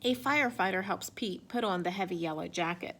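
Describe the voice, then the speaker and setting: A woman reading a children's picture book aloud in an expressive way. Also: mid-range voice, brisk, a little hiss or hum. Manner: expressive, acts out sound effects and exclamations. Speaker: storybook reader.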